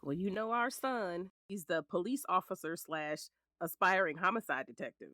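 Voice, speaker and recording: An actress with an American accent playing a sharp-tongued, protective middle-aged lawyer and scornful ex-wife in an audio drama, blunt and commanding. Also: female voice, fairly low pitch, normal speed, no room sound, studio quality, lightly acted. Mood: businesslike, steady.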